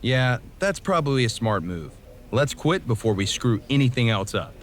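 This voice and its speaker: An easygoing, earnest game wrestler, played by a man, talks casually and a bit sheepishly. His medium-pitched voice moves up and down a lot, over faint background noise. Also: very animated, normal speed, natural voice. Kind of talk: casual video game cutscene dialogue.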